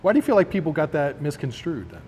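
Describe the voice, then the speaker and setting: A man's voice: on the high side, wildly swooping pitch, quick pace, warm tone, big-room sound, slight background noise. A man hosting an interview, asking casual questions in a laid-back way with short affirmations.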